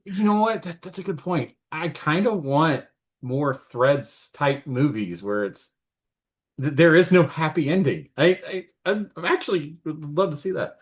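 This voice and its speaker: A man's voice: fairly high pitch, animated, a little slow. A man hosting a casual interview. He speaks eagerly and often trips over his words. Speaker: podcast host.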